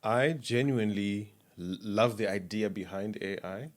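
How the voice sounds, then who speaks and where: A man from Zimbabwe, a sound engineer, talking as a podcast guest in a reflective, measured way in a studio. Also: on the low side. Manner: reflective, measured, trails off softly. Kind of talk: casual interview chat.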